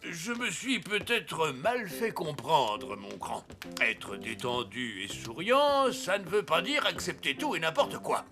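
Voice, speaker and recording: Animated old Father Christmas, high-pitched. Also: slow pace, exaggerated voice. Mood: firm, fatherly. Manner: theatrical, polite, fatherly. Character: Father Christmas, old, jolly but firm mentor. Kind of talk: animated cartoon acting. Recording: music behind the voice.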